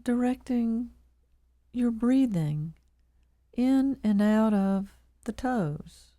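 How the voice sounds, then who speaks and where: A woman leading a guided body scan meditation, calm and measured, leaving long pauses between short phrases. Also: a little high, no echo, studio quality.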